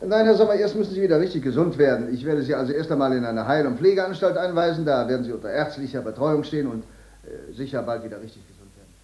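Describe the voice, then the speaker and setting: A man's voice: mid-range voice, expressive, fast, mellow, highs cut off, close to an everyday voice. A man playing a bureaucratic court director in a 1950s German radio drama, hesitant, official and condescending, in an echoey room. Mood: patronising, official.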